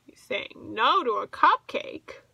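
A woman in her thirties reading a storybook aloud to children as a teacher, expressive and asking the listeners questions. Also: on the high side, takes it slowly, gravelly, slightly rough, no echo, lo-fi sound. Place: small indoor room with bookshelves.

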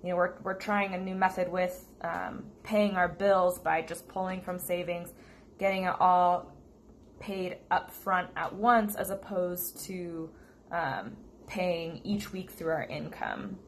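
A woman's voice: lowish voice, takes it slowly. An American woman talking casually to viewers as a vlog co-host. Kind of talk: casual chat to camera. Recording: steady noticeable background noise.

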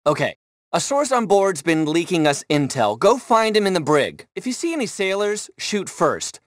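An actor playing a self-important, intense tech tycoon leading missions in a video game, pompous, emphatic and humorless. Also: male voice, high-pitched, very animated, normal speed, no echo, clean studio sound. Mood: commanding.

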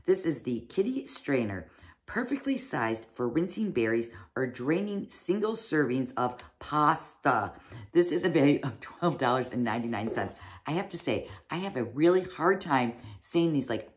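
Low-voiced chatty woman unboxing. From United States. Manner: chatty, fond of "little" and "like". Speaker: unboxing reviewer. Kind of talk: casual product unboxing. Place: small cozy room indoors. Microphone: fixed camera, seated at desk.

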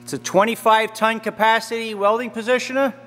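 A man's voice: high-pitched, little pitch movement, a little fast, fairly bright tone, big-room sound. A man giving a plain, matter-of-fact demo of a machine in a large indoor machine shop, recorded on a handheld camera. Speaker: machinery dealer. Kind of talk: casual product demo.

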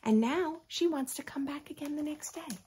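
An American woman in her thirties reading a picture book aloud with expressive, teacherly asides to young children. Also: high-pitched, moderate pace, slight background noise. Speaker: children's story host. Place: small furnished room at home.

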